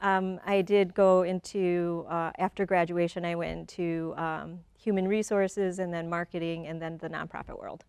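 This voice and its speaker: A woman in her forties from Michigan talks through her story with a smooth, clear voice. She often repeats a word as she finds her thoughts and breaks into easy laughter. The sound is close and dry, with no room behind it. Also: on the low side, steady tone, moderate pace, few pauses, a little mellow, no room sound. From Michigan, USA. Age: 40s. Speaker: guest. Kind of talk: conversational interview.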